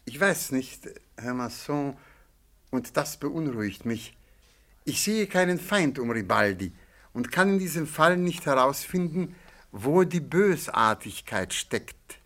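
An actor playing a shrewd, courteous male astrologer in a German radio play, speaking in a measured, formal and probing manner. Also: medium pitch, animated, a bit bright. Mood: musing, probing.

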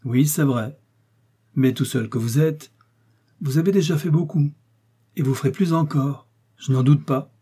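A man puts on a powerful old cardinal in a storybook reading, smooth and lofty, coaxing and pressing. The voice is pitched higher and louder than the reader's own, with a dark, slightly husky tone. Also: measured pace, pauses often. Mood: persuasive. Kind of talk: audiobook character reading.

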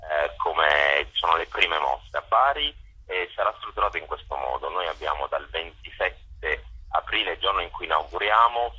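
A man's voice: sits low, pushed and bright, breathy edge. A man organizing a photo exhibition, speaking in a radio interview by phone, hesitant with many fillers and restarts. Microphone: phone line. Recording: dull phone line.

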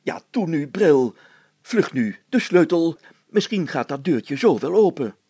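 A man voicing a pompous, fussy, excitable town mayor in a story, exclaiming and stammering when flustered. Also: fairly high pitch, measured pace, husky, no room sound, heavily acted. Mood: urgent.

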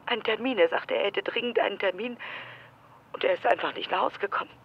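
A woman's voice: medium pitch, fast, creaky. A woman acting in a German radio drama as an anxious, fed-up ex-wife, agitated and accusing over a telephone. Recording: noisy, band-limited telephone line.

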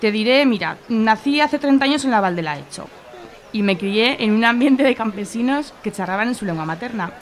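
A woman in her thirties with an Aragonese accent, playing a calm, teasing traveller searching for a relative. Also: on the high side, a little fast, lightly acted. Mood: determined. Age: 30s. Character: mysterious young woman traveller seeking a relative. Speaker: character in a radio serial.

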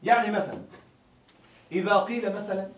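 A man with a high voice teaches a grammar lesson, heard from a distance in a reverberant classroom. He explains patiently step by step and checks understanding with questions. A faint hiss sits under the recording. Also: flat delivery, brisk. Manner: explains step by step, quizzes students with rhetorical questions.

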